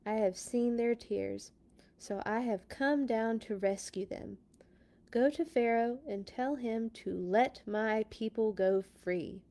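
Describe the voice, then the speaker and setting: A woman voicing a commanding God in a children's storybook, speaking in a loud, solemn, declarative way. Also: on the high side, slow. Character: booming, commanding voice of God from a burning bush. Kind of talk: children's storybook read-aloud.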